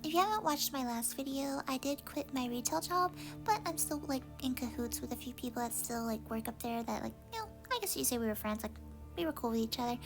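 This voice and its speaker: A woman's voice: sits high, expressive, quick pace, over music. A young woman from Texas, chatting casually through an unboxing with lots of fillers, sounding a little congested. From Texas, USA. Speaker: vlogger.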